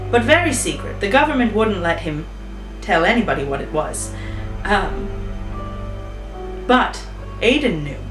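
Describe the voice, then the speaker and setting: A woman's voice: mid-range voice, recorded from a distance. A woman spins an improvised tale in a loose, chatty way, thinking aloud as she goes. She sits some way back from the mic in a roomy, echoey space, with faint music underneath. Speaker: storyteller. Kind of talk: casual improvised storytelling.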